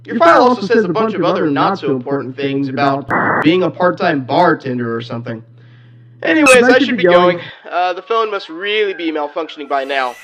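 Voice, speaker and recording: A man's voice: fairly high pitch, big pitch swings, a little fast, fairly bright tone. A man playing an awkward, chatty company phone caller briefing a night guard in a horror game, rambling hesitantly. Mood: friendly, awkward. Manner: hesitant, rambling, full of ums. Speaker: phone guide character in fan horror game. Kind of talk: rambling phone-message monologue. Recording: muffled lo-fi phone-message audio.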